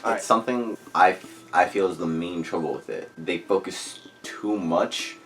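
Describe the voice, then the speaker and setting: A man's voice: sits low, normal speed, fairly bright tone, faint music behind. A man appearing as a guest reviewer, chatting casually and giving his opinions on a book.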